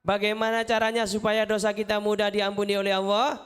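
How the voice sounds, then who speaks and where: A man giving an animated, interactive Islamic motivational talk to schoolchildren, asking questions and repeating phrases. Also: high voice, fairly quick, a little hiss or hum. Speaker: children's storyteller and motivational speaker. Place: live event at a school before children.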